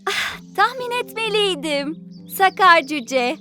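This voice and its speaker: A kind, cheerful young girl in a fairy-tale cartoon, with an extremely high, bright voice and a sweet, encouraging way of talking. Faint music plays behind her. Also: animated, takes it slowly, heavily acted. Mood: friendly. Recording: light music and birdsong behind.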